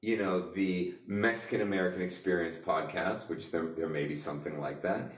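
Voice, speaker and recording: Low-voiced man hosting in an echoey room. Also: fairly even intonation, normal speed. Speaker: podcast host and quizmaster. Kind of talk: casual comedic quiz banter. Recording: roomy echo, distant microphone.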